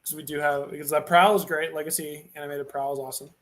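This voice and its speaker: Bright, slightly high male host, eager and chatty, a bit halting. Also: steady tone. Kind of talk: casual chat.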